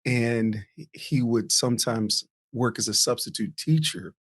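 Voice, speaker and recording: A man's voice: mid-range voice, measured pace. A man telling stories from his childhood as a podcast guest, laughing often and poking fun at himself. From US East Coast (New Jersey, Virginia). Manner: laughs often, self-deprecating storytelling. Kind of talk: casual interview storytelling.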